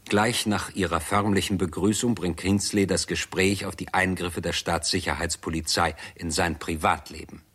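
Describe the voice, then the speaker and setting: An actor narrating a 1960s German radio play in a sober, formal, report-like manner. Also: male voice, low-pitched, steady tone, moderate pace, hardly any pauses, fairly bright tone, breathy edge. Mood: sober, factual.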